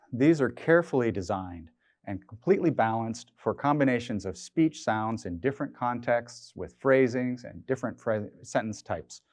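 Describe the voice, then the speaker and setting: A man's voice: medium pitch, darkish tone, no echo. Middle-aged man, dry studio sound. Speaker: research scientist, presenter. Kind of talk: prepared technical presentation. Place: indoor studio stage. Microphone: clip-on lapel mic.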